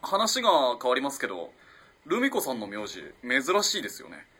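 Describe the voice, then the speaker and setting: A man's voice: medium pitch, wildly swooping pitch, normal speed, pushed and bright, husky, full character voice. An actor playing a polite, earnest, easily flustered young male student in a radio drama. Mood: friendly, eager. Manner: polite, eager, hesitant. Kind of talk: Japanese radio drama acting.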